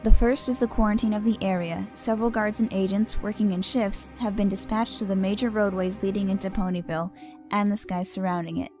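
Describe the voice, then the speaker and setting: A woman playing a businesslike pony official reading out a formal, measured investigation report in an audio drama. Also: medium pitch, steady tone, darkish tone, soft background music, lightly acted.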